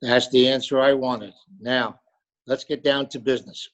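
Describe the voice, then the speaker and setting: A man's voice: medium pitch, fairly even intonation, normal speed, a bit bright, natural voice. A man playing a pompous, salesmanlike lonely hearts broker in old-time radio drama style. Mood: solemn, businesslike. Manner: salesmanlike, florid. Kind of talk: 1940s radio drama acting. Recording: dull, lo-fi remote call audio.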